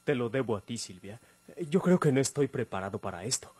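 A man's voice: medium pitch, expressive, fairly quick, a little mellow, slightly rough, no room sound. An actor playing a timid, self-doubting young man with a Mexican accent, hesitant and apologetic, in old radio drama style. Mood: meek, nervous.

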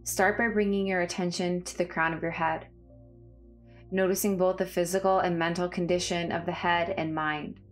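A woman with a slightly low voice guides a body scan meditation. Her delivery is calm and soothing, with measured phrases and space between them. Faint music plays behind her. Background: background music.

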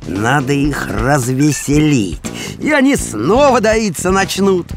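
A man voicing an eager, naive, good-hearted male dog in an animated cartoon, with an excitable, guileless manner. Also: fairly high pitch, takes it slowly, over music. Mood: hopeful.

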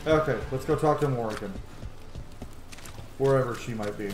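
A man's voice: mid-range voice, moderate pace. A man in his thirties hosting a casual, sweary let's play from a room at home. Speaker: gaming channel host. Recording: echoey room, game music behind. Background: game music.

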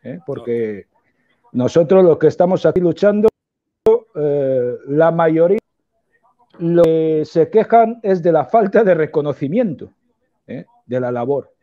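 A man's voice: fairly high pitch, lively intonation, unhurried. A man in his forties from Equatorial Guinea, speaking earnestly as an interview guest over a video call, with many fillers and tag questions. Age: 40s. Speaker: guest, opposition politician. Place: indoor room at home. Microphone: earphone headset on video call. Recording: tinny video-call audio.

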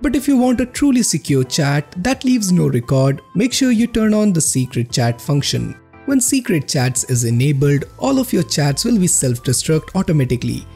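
Male tech presenter, animated scripted read, slightly husky, music underneath. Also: a little high. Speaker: host of a tech review channel. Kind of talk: scripted narration of an app roundup video. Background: background music.

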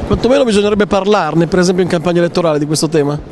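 High-voiced male street interviewer. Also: very fast. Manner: short prompting questions. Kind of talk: vox pop questions. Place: city arcade at night, outdoors. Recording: faint street noise.

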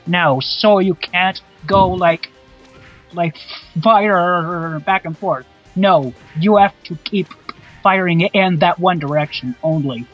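Male guest player, high pitch, heavy stammer, casual, lo-fi track with music behind. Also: takes it slowly. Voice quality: stammering, repeats syllables. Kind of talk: casual game explanation. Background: video game music.

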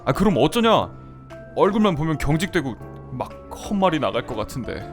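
A man's voice: fairly high pitch, sing-song, a little fast. A man voicing a nervous, shy office worker in his thirties who talks in a flustered, hesitant way, sighing often, in an amateur audio drama. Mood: fretful. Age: 30s. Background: soft background music.

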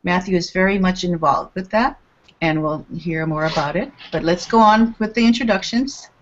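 A woman's voice: on the low side, moderate pace, a little hiss or hum. An older woman casually hosting an online panel over a headset on a video call. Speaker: host, school librarian. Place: indoor room. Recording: dull lo-fi video call audio.

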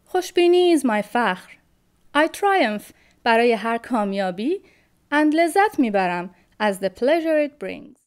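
A woman, a Farsi speaker, performing a spoken-word piece about joy in a bright, buoyant, sing-song voice-over. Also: on the high side, sing-song, quick pace, voice pushed up. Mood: joyful.

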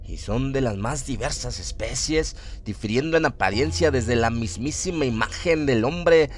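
A man giving a solemn, theatrical narration of a horror radio drama, with deliberate phrasing. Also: medium pitch. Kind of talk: dramatic scripted horror narration, audiobook-like reading. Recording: music under the voice. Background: atmospheric music bed.

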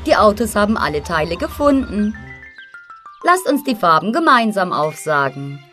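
A woman's voice: medium pitch, sing-song, moderate pace, a bit bright, faint music behind. A woman narrating a cartoon for toddlers, speaking in simple, exclaiming phrases as if to the characters and young viewers.

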